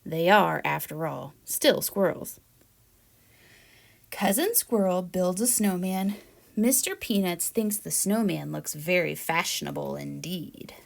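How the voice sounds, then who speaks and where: A woman reading a children's picture book aloud in a deliberate, expressive storytelling manner. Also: on the low side, creaky. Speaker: read-aloud storyteller, teacher-like presenter.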